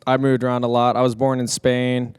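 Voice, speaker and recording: A man's voice: medium pitch, monotone, fairly quick, smooth, no room sound, studio quality. A young man from the San Diego area, a DJ and producer, talking casually on a podcast in a rambling, laid-back way with many fillers. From San Diego area, California. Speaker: guest DJ and producer.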